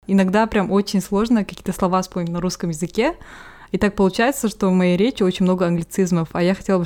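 A woman's voice: mid-range voice, dark tone. Hesitant woman thinking aloud on a podcast. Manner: thinks aloud, hesitant, frequent "yes" fillers. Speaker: podcast co-host. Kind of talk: casual podcast chat.

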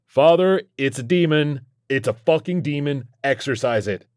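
A man acting a hot-headed, panicky character in an audiobook, agitated and shouting. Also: low-pitched, sing-song, normal speed, no echo, clean studio sound. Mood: panicked yelling. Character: panicky, foul-mouthed hot-headed man, the story's narrator.

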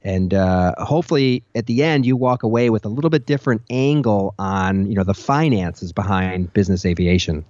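Measured male co-host on a dull line. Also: on the low side, lively intonation, a little mellow, no room sound. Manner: measured, practical advice-giving. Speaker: podcast co-host. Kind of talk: casual podcast chat.

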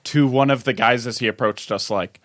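A man's voice: mid-range voice, a little fast, a bit bright, no room sound, clean studio sound. A man co-hosting a casual podcast, swapping anecdotes in a joking way with hesitant starts. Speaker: podcast co-host. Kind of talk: casual group banter and anecdotes.

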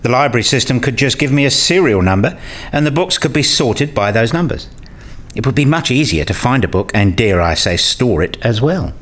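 A man acts out an eager, opinionated debater in a radio play. He speaks at an average male pitch and lays out his arguments in scripted, explanatory dialogue. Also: expressive, fairly quick, fairly bright tone. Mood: earnest, argumentative. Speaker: radio play character in discussion.

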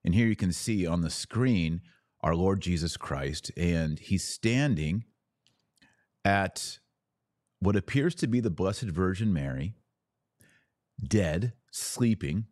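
A middle-aged man giving an informal theological explainer as a podcast host, speaking in an emphatic, didactic way with pauses on key phrases. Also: low voice, lively intonation, darkish tone, dry recording, studio quality.

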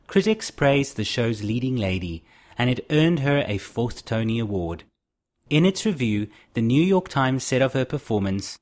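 A man narrating a documentary in a measured, informative way. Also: medium pitch. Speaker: narrator host.

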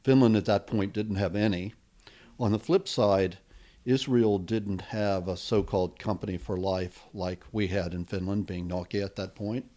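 A middle-aged man, a venture capitalist guest, answering interview questions in a relaxed, rambling way with many fillers. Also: fairly low pitch, normal speed. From United States.

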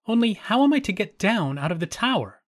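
A man voicing a gentle, innocent young maiden who speaks softly and eagerly. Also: high-pitched, big pitch swings, a little fast, voice pushed up.

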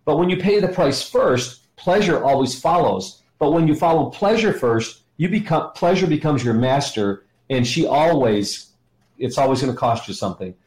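A man's voice: fairly high pitch, lively intonation, normal speed, a little mellow. A middle-aged American man teaching conversationally as an interview guest, enthusiastic and repeating himself for emphasis. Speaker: interview guest, ministry educator. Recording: roomy, echoing sound.

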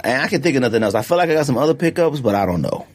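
Husky, animated man talking sneakers. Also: mid-range voice, racing. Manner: animated, slangy, laughs often. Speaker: podcast co-host. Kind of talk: casual sneaker chat.

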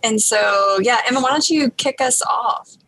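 A woman's voice: a little high, normal speed, fairly bright tone, husky, poor audio. A woman researcher talking about swallowing research in an easygoing, friendly way. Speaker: speech pathology researcher. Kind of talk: academic discussion.

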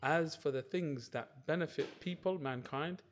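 A man explaining his view as a religious scholar in a discussion, in short, emphatic, deliberate phrases. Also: a little high, slight background noise. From Britain.

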